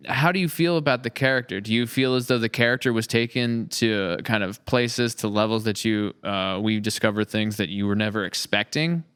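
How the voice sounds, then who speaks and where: A man's voice: medium pitch, fairly bright tone, clear, resonant tone, dry recording, clean studio sound. A man hosting a casual podcast interview, talking in an effusive, appreciative way. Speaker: podcast host and series creator.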